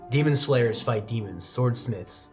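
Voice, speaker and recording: A man voicing proud hostile young swordsman, tabletop game character, curt, condescending, threatening, mid-pitched, faint music behind. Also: measured pace, a little mellow, lightly acted. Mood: cold disdain. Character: arrogant, hostile young male demon slayer, proud son.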